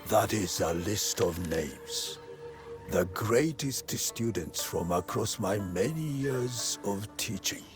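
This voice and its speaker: An actor playing a grave older sword master in a video game cutscene, speaking in a formal, deliberate and weighty manner. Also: male voice, lowish voice, animated, music in the background. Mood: grave, challenging.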